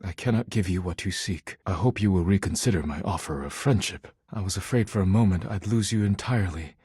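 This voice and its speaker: A proud, earnest video game character with a low, very breathy and husky voice. He speaks formally, choosing his words with measured precision. The sound is close and dry. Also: a little mellow, dry recording. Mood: earnest, regretful. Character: formal, proud, earnest man devoted to his work and art.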